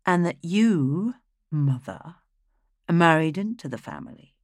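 A woman's voice: deep voice, expressive, slow pace, dry recording, clean studio sound, heavily acted. A woman voicing a cool, sly young woman making a pointed remark to her mother.

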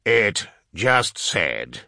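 A man voicing a grave, careful scholar who explains in a precise, halting way, in an audiobook. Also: lowish voice, very animated, gravelly, sharp tone, no room sound, clean studio sound, full character voice. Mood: patient, explaining. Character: grave, careful male scholar translating cryptic chants.

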